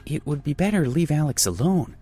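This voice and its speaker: A man's voice: fairly high pitch, animated, a little fast, dark tone, soft background music, voice pushed up, natural voice. A man voicing a worried young woman who pleads anxiously with her brother. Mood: worried, pleading. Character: worried protective young woman, his sister.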